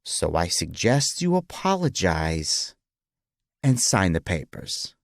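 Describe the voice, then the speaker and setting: A man voicing a confident, ruthless young businessman in an audiobook, speaking firmly and deliberately. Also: deep voice, very animated, vocal fry, no room sound, studio quality, exaggerated voice. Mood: stern, menacing. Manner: firm, deliberate, commanding.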